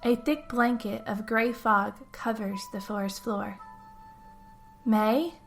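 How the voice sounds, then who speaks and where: A woman with a fairly high voice reads a scripted tale as its storyteller. She narrates in the first person in a dramatic storytelling style. Music plays faintly behind her. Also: steady tone, measured pace, vocal fry, fairly bright tone. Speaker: podcast host and storyteller.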